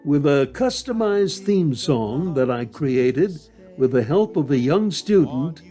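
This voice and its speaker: A man's voice: on the high side, animated, slow pace, warm tone, faint music behind. An older man narrating a documentary in a reminiscing, scripted style, as a former radio announcer.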